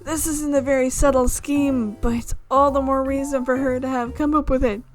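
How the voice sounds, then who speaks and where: A woman's voice: high voice, little pitch movement, moderate pace, faint music behind. A woman reading visual novel narration aloud as a let's player, with casual reactions of her own.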